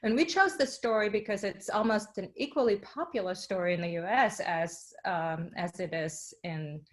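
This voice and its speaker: A woman panelist explaining informally, hesitating and pausing to find her words. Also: medium pitch.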